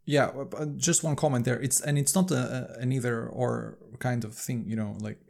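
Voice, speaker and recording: Gravelly man, casual podcast co-host. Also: medium pitch, fairly even intonation, moderate pace. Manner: agreeable, filler-heavy, trails off softly.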